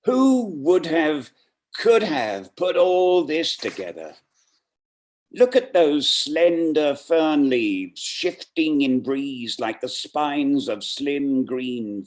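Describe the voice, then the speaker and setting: Guy reading a poem really really slowly, mid pitch, careful phrases, lots of pauses. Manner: measured, deliberate phrasing with frequent pauses. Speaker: poetry reader. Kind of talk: poetry recitation. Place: online video call.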